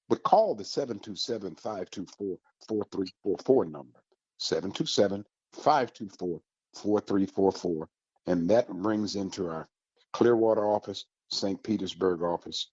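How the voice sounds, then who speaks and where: A man with a low, husky voice hosts a community radio show, selling the area to listeners in a folksy, upbeat way. The sound is dry and a little muffled, like a lo-fi broadcast. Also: darkish tone, no room sound. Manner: folksy, upbeat promotional delivery. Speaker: radio host.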